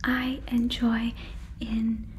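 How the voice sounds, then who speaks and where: A woman whispering close to a fluffy microphone in a breathy ASMR roleplay as a gentle, earnest alien, repeating words softly and deliberately. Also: fairly high pitch, flat delivery, a little hiss or hum. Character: gentle, earnest female alien fussing over a visitor. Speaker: ASMR performer.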